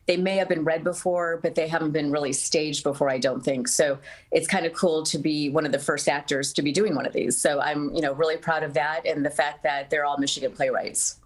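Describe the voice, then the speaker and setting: A woman with a slightly low voice talks as an interview guest. Her delivery is casual and playful, in the informal way of friendly chat. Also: fairly even intonation, a little fast. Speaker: actor and media personality, interview guest.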